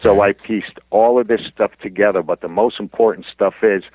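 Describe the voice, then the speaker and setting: Low-voiced man on a phone-like line. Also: fairly even intonation, moderate pace, no room sound. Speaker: interview guest, author and investigator. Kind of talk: recounting research in a radio interview. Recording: muffled narrow phone-like line.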